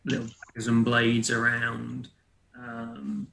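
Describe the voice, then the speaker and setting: A man narrating as game master of a tabletop roleplaying game, explaining in a hesitant, halting way. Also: medium pitch, completely flat delivery, vocal fry, pushed and bright, reverberant, a little hiss or hum, rough recording.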